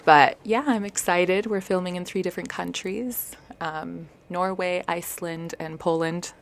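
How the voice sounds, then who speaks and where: A woman's voice: fairly low pitch, steady tone, measured pace, slight background noise. A woman in her thirties, from Canada and Spain, chatting as an interview guest with frequent "um" fillers. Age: 30s. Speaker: guest, model and actor. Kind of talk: casual interview chat.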